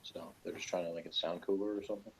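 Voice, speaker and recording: A man's voice: sits low, steady tone, rapid-fire, gravelly, a little hiss or hum. A man in casual conversation, talking in an offhand, sardonic way. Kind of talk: casual chat. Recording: dull lo-fi recording.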